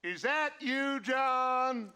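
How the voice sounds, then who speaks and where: A man's voice: very high voice, bright, forceful tone, clear, resonant tone, full character voice. An actor playing a charismatic male outlaw leader who speaks in a grand, mocking way. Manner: grandiose, mocking, slow and theatrical. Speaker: gang leader.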